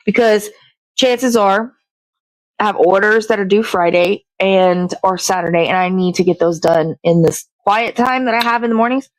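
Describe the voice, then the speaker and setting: A woman's voice: mid-range voice, normal speed, no echo, low-quality recording. A woman hosting a casual show, chatting and rambling as she works on a craft. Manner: rambling, self-correcting, chatty. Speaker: host. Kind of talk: casual chat while crafting.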